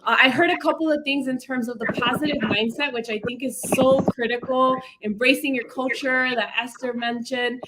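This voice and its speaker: A woman's voice: high voice, fairly even intonation, normal speed, hardly any pauses. A woman hosting a livestream, interviewing conversationally and addressing viewers in an upbeat, encouraging way. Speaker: livestream host. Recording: faint background noise, clicks.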